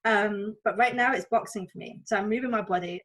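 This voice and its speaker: A woman's voice: medium pitch, brisk, a bit bright, low-quality recording. Candid, reflective woman from Thailand. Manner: open, conversational, often saying "you know". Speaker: interview guest, spiritual coach and healer. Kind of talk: candid, reflective personal interview talk.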